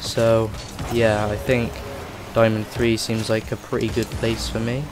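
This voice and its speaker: A man hosting a gaming channel, chatting casually over his gameplay and rambling with lots of fillers, his voice rough from a cold. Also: on the low side, level tone, measured pace, background noise.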